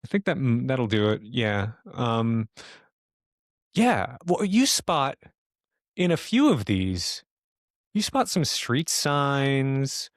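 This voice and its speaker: A man narrating a tabletop horror game as game master, improvising in a halting way as he thinks aloud. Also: medium pitch, sing-song, no room sound, clean studio sound.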